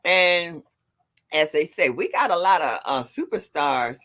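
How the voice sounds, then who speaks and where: Older lady w/ a real deep voice, chatty, laughs a lot, lively bright tone that swings up and down. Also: a little slow. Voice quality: deep low voice. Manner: chatty, laughs often, greets viewers warmly. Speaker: livestream host. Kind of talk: casual chat reading viewer comments.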